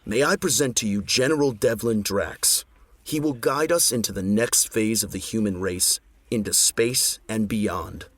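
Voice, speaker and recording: Man playing a husky, solemn national leader. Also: lowish voice, unhurried, fairly bright tone, no room sound. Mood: grave, resolute. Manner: grave, formal oratory. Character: solemn male government leader addressing a doomed nation. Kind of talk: sci-fi audio drama speech.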